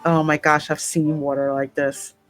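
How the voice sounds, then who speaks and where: Woman gamer on the lower side, chatting and reading story bits out, faint music under her. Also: flat delivery, moderate pace. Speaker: gaming channel host. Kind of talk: casual game reaction chat and read-aloud narration.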